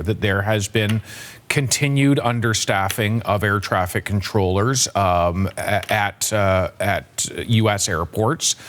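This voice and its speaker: A man anchoring a broadcast news interview, putting questions in measured, emphatic phrases. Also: lowish voice.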